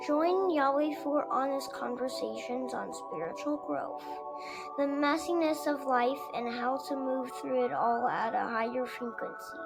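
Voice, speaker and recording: Female intro announcer, high voice with gravel, music bed underneath. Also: a little slow, rough recording. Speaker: show announcer. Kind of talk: scripted intro over music. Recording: voice over loud music.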